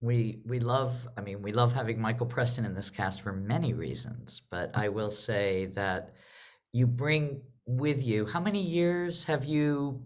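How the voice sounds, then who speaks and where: A woman moderating a live online discussion about theater, speaking in a measured, reflective way. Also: rumbling low, a little mellow.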